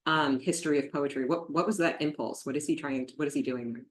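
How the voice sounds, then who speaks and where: A woman with a fairly low voice leads a literary interview at a book talk. She asks long, curious, probing questions in a scholarly vocabulary. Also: quick pace, reverberant. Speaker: interviewer at an author event.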